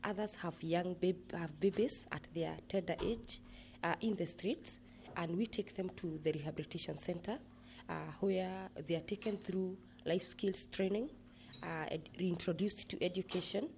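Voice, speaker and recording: A woman with a Kenyan accent explaining her work in an interview, speaking in a measured, earnest way with pauses. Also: fairly low pitch, a little mellow, slight background noise. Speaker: interviewee, project manager at a rehabilitation center.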